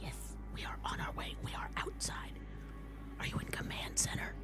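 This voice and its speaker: A woman's voice: moderate pace, whispered, lightly acted. Woman playing jittery, foul-mouthed colony worker McWeir. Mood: hushed urgency. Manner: swearing, blunt, folksy. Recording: faint music behind.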